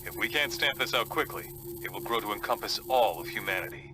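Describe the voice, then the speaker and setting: An actor playing a grave, analytical strategist delivering a video game mission briefing. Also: male voice, fairly low pitch, animated, fast talker, background noise, lightly acted.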